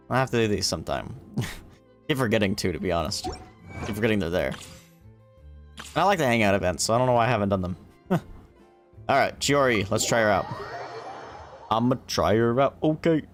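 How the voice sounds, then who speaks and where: A man's voice: fairly low pitch, expressive, moderate pace, pauses often. Casual man streaming a video game. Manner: sweary, talking to himself and the game. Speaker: gaming livestreamer. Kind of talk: casual livestream chat while playing. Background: video game music.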